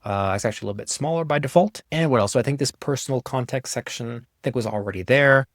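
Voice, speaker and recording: A young man giving a casual, conversational walkthrough of software he made, in an informal way. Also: mid-range voice, fast, no room sound, clean studio sound. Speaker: app developer presenting his own software.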